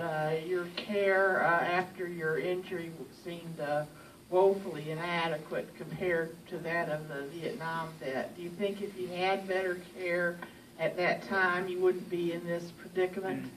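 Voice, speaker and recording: A woman's voice: on the low side, steady tone, a little slow. A woman in an audience posing a considered question to a speaker. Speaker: audience member asking a question. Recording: distant mic with faint noise.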